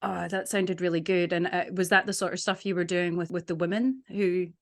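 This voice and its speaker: A woman's voice: fairly low pitch, steady tone, fairly quick. A woman hosting a podcast interview, speaking with enthusiastic, effusive praise. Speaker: podcast host.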